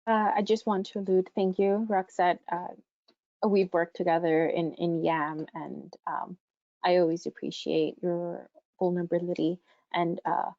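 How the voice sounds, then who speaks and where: A woman's voice: fairly low pitch, vocal fry, darkish tone, no echo. A young woman college student whose first language is Spanish, speaking earnestly and emphatically about her experience on a panel over a video call. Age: young adult. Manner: earnest, emphatic, building conviction. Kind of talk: personal testimony on a panel. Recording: muffled dry online audio.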